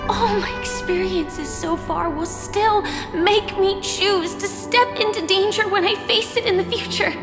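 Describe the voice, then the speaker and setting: The slow-spoken, gentle young heroine of a fantasy game cutscene, standing her ground earnestly in a cavernous space as music swells. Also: very high-pitched. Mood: calm, resolute. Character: gentle but resolute young woman warrior, quietly hopeful. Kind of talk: scripted dramatic game dialogue. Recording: long reverb under clear music.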